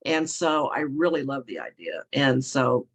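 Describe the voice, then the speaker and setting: A woman author talking casually in an interview, her voice hoarse and froggy from a cold, rambling and correcting herself as she goes. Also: a bass voice, sing-song, a little slow. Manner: rambling, self-correcting, chuckling often. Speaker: guest author.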